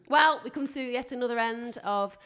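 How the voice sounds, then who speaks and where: A woman with a British accent co-hosting a podcast in a casual, wry, self-mocking comic style, talking in an animated way. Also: on the high side, a little slow. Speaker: podcast co-host.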